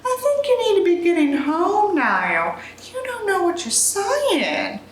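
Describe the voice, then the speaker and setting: A woman voicing an indignant young bride, scolding and sharp. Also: very high-pitched, sing-song, unhurried, a little hiss or hum, voice pushed up, exaggerated voice. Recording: very echoey room.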